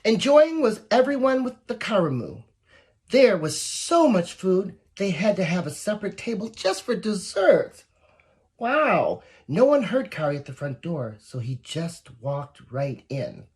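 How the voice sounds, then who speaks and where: A woman reading a picture book aloud to young children, expressive and encouraging, with asides to the listeners. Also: mid-range voice, a little slow, husky. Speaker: volunteer story reader.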